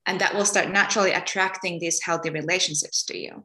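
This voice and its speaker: A woman from Finland, a guest in an interview, talking about her path and often pausing to search for words. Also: lowish voice, steady tone. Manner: soft-spoken, searching for words with many ums and restarts. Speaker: guest, energy healer. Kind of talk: relaxed spoken interview.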